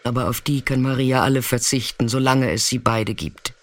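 A man acting in a radio play as a weathered, fearless narrator, speaking in an understated, intimate way with hushed moments. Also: mid-range voice, moderate pace, natural voice. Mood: quiet, reflective.

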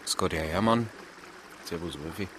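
A male actor plays a weary small-town policeman in a radio drama. His Bavarian voice is low, dry and laconic. There is faint vehicle noise behind him. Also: moderate pace, natural voice. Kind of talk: naturalistic radio drama acting.

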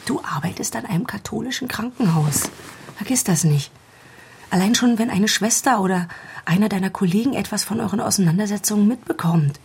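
An actress playing a cool confidante in a radio crime drama, hushed and breathy, probing and insinuating. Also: female voice, medium pitch, a little fast, slight background noise, close to an everyday voice. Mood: pressing, insinuating. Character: cool, pressing woman confidante of a doctor. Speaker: actor.

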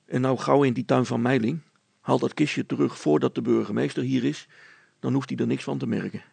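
Man playing a gravelly, practical storybook father. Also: medium pitch, fairly even intonation, fairly quick, darkish tone, no room sound. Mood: scolding, urgent. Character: practical, gruff middle-aged father and tinkerer.

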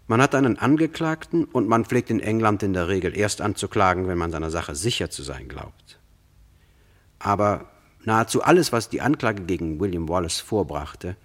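A man with a standard German accent narrating a scripted radio crime play in a measured, formal, deliberate way. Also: sits low. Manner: measured, formal, deliberate storytelling with rhetorical questions.

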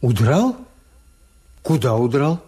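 An actor playing a reserved, wary male hotel owner who narrates and speaks in a restrained, measured way in a radio drama. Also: mid-range voice, sing-song, dark tone, husky, full character voice. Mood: concerned. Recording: Soviet radio play with music underneath.

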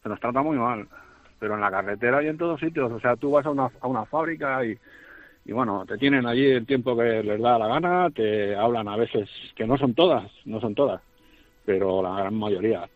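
A man's voice: mid-range voice, brisk, dry recording. A nervous man with an accent from Spain calling a radio show as a truck driver, emphatic and plaintive, repeating himself. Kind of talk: phone-in testimony. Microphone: phone call. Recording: dull radio phone line, faint music.

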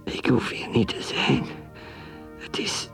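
An actress playing a troubled woman drinker in a radio drama, thinking aloud in halting, intense, breathy whispers. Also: soft background music, natural voice. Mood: bitter, distressed. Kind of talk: radio drama interior monologue.

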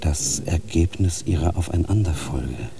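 An actor playing an older, cautious monk and teacher in a radio drama, grave and measured in his warnings, heard from a distance with noise behind. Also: sits low. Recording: distant voice with audible background noise.

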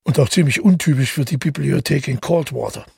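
Husky, gravelly, brooding male narrator. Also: medium pitch, brisk, no echo, studio quality, full character voice. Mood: uneasy recollection. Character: brooding, haunted male first-person narrator recalling the past. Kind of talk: literary audio drama monologue.

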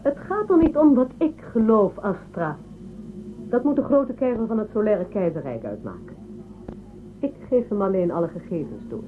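Haughty, probing official, tinny noisy recording. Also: female voice, a little high. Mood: probing, skeptical. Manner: condescending, measured interrogator. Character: haughty, probing woman official of a space empire. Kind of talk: Dutch radio drama acting. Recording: thin band-limited, noisy old radio recording.

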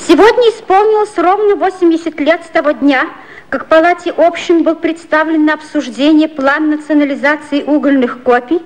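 Actress as a high-voiced, lively young secretary. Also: female voice, moderate pace, lightly acted, low-quality recording. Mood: brisk. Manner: crisp declamatory reading aloud of newspapers. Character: lively young female secretary to the prime minister. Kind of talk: 1950s radio play acting. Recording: old 1950s radio recording.

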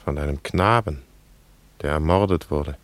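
Very deep, slow, haunted wanderer. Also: male voice. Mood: mournful. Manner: solemn, halting. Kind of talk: poetic radio drama acting.

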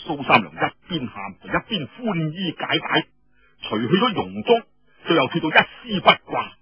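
A man's voice: a little high, very animated, normal speed, gravelly, breathy edge. A man with a Cantonese accent telling a story in dramatic traditional radio style, theatrical and colloquial. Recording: muffled, roomy radio-style recording.

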